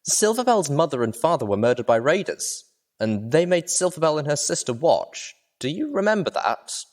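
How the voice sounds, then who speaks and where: A man's voice: on the high side, big pitch swings, moderate pace, voice pushed up. A man voicing a small young female pony, an earnest and determined adventurer who speaks emphatically and pleadingly. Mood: anguished, emphatic.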